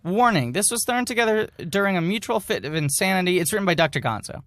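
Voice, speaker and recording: A man's voice: sits high, animated, fast talker, a bit bright, no echo, studio quality. A man co-hosting a podcast, giving a mock-serious dramatic reading and breaking off into casual, amused asides. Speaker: podcast co-host reading aloud.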